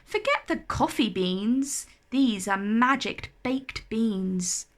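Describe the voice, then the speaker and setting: A woman's voice: fairly high pitch, lively intonation, slow. A woman voicing a kindly, thoughtful old man in a children's storybook, speaking persuasively.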